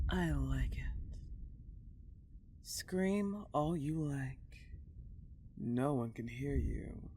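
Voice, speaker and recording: A woman playing a dark, possessive older vampire countess in an intimate ASMR roleplay, teasing and condescending as she lingers on her words. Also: rumbling low, a little hiss or hum, heavily acted.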